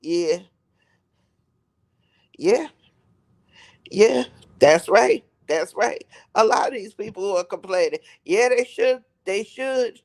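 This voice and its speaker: A man with a raspy voice from a head cold, rambling on a live stream and repeating himself for emphasis. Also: high voice, lively intonation, takes it slowly, long pauses. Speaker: livestream host. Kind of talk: casual live-stream commentary.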